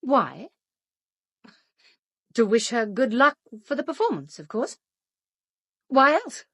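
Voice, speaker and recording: An actress playing a haughty, defensive, sharp-tongued suspect in a radio play, with an arch, prickly manner. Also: female voice, a little high, sing-song, slow, breathy edge, dry recording, studio quality, exaggerated voice.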